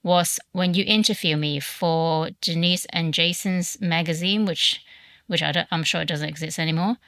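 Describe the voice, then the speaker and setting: A woman hosts a casual podcast in a bright, sharp voice, blunt and teasing with her guest and quick to swear. She is recorded close on a dry mic with almost no room sound. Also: on the low side, steady tone, smooth, dry recording. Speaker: podcast host. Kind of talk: casual chat.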